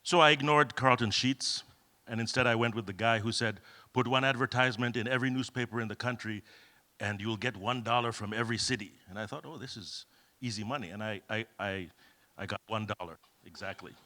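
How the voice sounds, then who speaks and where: A man's voice: medium pitch, a little fast, bright, forceful tone. A middle-aged man from Kenya giving a conference talk, telling humorous, self-deprecating stories with many fillers. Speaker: keynote speaker. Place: event hall.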